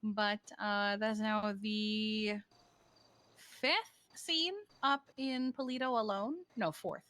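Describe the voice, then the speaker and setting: A woman improvising in game roleplay as a matter-of-fact, wry character who recounts things haltingly. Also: medium pitch, steady tone, a bit bright, clear, resonant tone. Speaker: role-player in a live-streamed online game.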